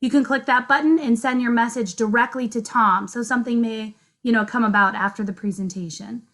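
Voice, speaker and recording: Young woman hosting a webinar q&a, friendly and chatty, kinda high voice. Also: fairly even intonation, fairly quick, fairly bright tone. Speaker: event host, moderator. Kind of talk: moderating a virtual Q&A. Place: office, indoors. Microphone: video call.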